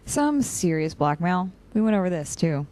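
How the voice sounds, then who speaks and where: A young woman playing a dry, cynical, practical operative in an audio drama, with a deadpan, sardonic way of talking. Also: on the low side, normal speed. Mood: dry, bantering. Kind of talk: audio drama dialogue and log entries.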